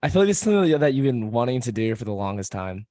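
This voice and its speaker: Slightly hoarse, laughing podcast host man. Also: medium pitch, very animated, fast, dark tone, no room sound, studio quality. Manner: laughs often, swears freely, loose joking delivery. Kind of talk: casual podcast chat.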